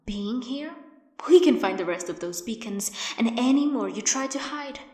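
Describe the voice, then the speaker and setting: An actress playing a headstrong, defiant young heroine in an audio drama, speaking with urgent determination. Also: female voice, on the high side, expressive, normal speed, warm tone, close to an everyday voice. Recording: echoey room with clear noise.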